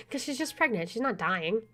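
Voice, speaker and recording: An expressive woman playing a game, reading its narration aloud and adding casual, opinionated commentary. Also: mid-range voice. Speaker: let's player. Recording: faint music underneath.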